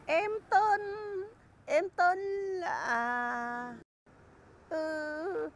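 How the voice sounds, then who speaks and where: A woman's voice: high voice, animated, drawn-out words, a little hiss or hum, heavily acted. A woman voicing cheeky young schoolchildren in a crude comedy cartoon, with exaggerated delivery. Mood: timid, polite.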